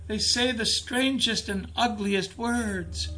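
A man's voice: sits high, fairly even intonation, takes it slowly, sharp tone, faint music behind, pitched above the performer's own voice, full character voice, poor audio. A man voicing a frightened, solemn little boy who speaks in simple, earnest phrases, in a storybook narration. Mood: disdainful.

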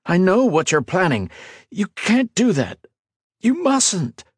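A man's voice: fairly high pitch, expressive, moderate pace, dry recording, clean studio sound, pitched above the performer's own voice. A man playing a desperate male mage in an audiobook, pleading urgently and earnestly.

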